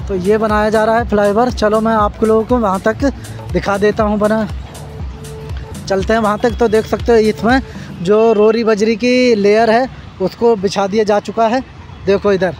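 A high-pitched man narrates a walking vlog by a busy road. His delivery stays level and monotone as he keeps pointing things out to his viewers. It sounds like a handheld phone recording outdoors. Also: moderate pace, faint music behind. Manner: repetitive, addresses viewers as friends, points things out. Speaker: vlogger. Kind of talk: casual walking construction-site vlog. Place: outdoors beside a busy road and flyover construction.

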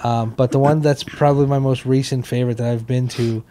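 A man's voice: medium pitch, fairly even intonation, a little fast, warm tone, slight background noise. An American man co-hosting a podcast, chatting casually and comedically into a handheld microphone held close. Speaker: podcast co-host. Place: small indoor podcast room with couches.

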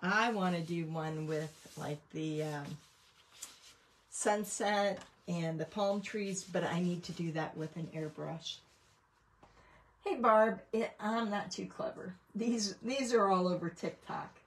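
A woman's voice: on the low side, slow, slight background noise. A woman chatting casually as she hosts a craft livestream, rambling through a show-and-tell of her painting work. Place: indoors at a craft table.

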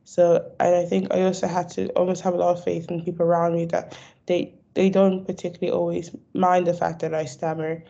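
Gravelly low-voiced young woman who stammers. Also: level tone, brisk, mellow. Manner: stammers, repeats words and restarts. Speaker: stammering awareness advocate. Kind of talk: video call interview. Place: indoor room. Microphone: video call. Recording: dull lo-fi video call audio.

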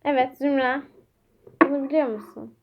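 A woman's voice: high-pitched, fairly even intonation, moderate pace, dull audio, noisy background. A woman chatting casually as she hosts a homemade quiz game and speaks to viewers. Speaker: vlog host quizzing a child.

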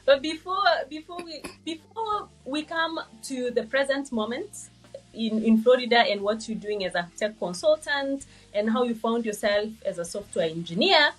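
A woman's voice: fairly high pitch, animated, moderate pace, fairly bright tone, slightly rough, poor audio. A woman hosting a podcast, asking questions in an enthusiastic, encouraging way. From Kenya. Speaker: podcast host. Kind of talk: podcast interviewing.

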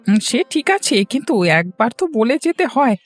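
Woman as cartoon elder brother, very caring, high pitch, fussing, light music behind. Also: animated, a little fast, lightly acted. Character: caring, mildly scolding elder brother, street-food seller. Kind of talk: cartoon dialogue, moral story.

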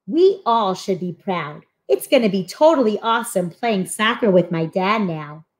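A woman voicing friendly, eager schoolchildren from a comic book in an expressive, earnest read-aloud. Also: mid-range voice, moderate pace, lightly acted. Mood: grateful, earnest. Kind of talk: animated storybook read-aloud.

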